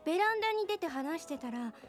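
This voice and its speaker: A woman's voice: very high voice, lively intonation, fast talker, soft background music. An actress playing a flighty, nosy young woman in a radio drama, talking in a casual, girlish, chatty and pleading way. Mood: excited gossip. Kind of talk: radio drama acting, casual conversational Japanese.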